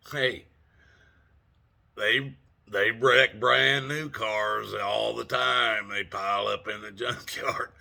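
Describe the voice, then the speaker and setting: Gravelly, folksy man in his sixties. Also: mid-range voice, bright, forceful tone. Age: 60s. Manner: folksy, rambling, laughs often, mild cursing. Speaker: vlogger. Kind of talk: casual storytelling vlog.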